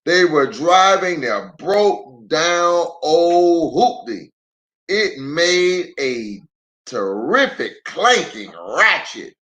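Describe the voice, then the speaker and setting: A man's voice: on the high side, extremely slow. A middle-aged man, a pastor, reading a children's storybook aloud with playful, chatty asides to the young listeners. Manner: expressive, playful, addresses children directly.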